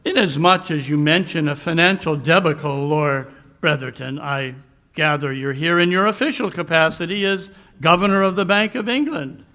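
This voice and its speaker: A man playing a cool, commanding detective in a live 1940s-style radio mystery, speaking formally and deliberately with dry superiority. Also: a little high, pushed and bright.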